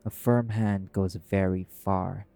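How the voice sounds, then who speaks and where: A man playing a brash, guarded wrath demon in an audio roleplay, speaking in a curt, gruff, cool-headed way. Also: deep voice, fairly even intonation, slow pace, mellow, no echo, full character voice. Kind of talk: dramatic audio roleplay acting. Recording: music under the voice. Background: music.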